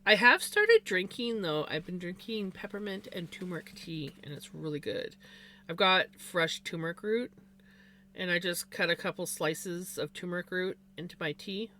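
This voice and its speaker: Chatty streamer with a bright, forceful voice, rambling on live with a faint hum underneath. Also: mid-range voice, expressive, moderate pace. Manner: rambling and chatty, many fillers and restarts. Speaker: livestreamer. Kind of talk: casual chat on a live stream.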